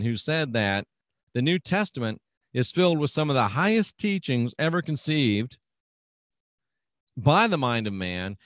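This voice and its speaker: A man's voice: medium pitch, no room sound. A man hosting his own radio show, chatting casually and reading out listener comments in a folksy, agreeable way. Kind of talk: casual talk reading out live chat comments.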